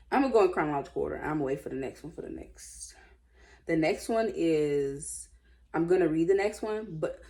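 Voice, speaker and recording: A woman's voice: low voice, darkish tone. An American woman casually reading stories aloud with informal, sassy and blunt running commentary.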